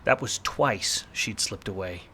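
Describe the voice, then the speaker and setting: A man's voice: lowish voice, unhurried, pushed and bright, slight background noise. An actor playing a world-weary, wisecracking private detective in a film noir radio play, with a wry, slangy tough-guy delivery. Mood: brooding, wry. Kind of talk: film noir radio play, first-person narration and dialogue.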